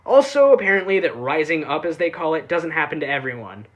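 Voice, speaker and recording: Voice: medium pitch, sing-song, fast talker. A reviewer giving a casual, sarcastic recap, full of offhand asides and swearing. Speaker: anime reviewer.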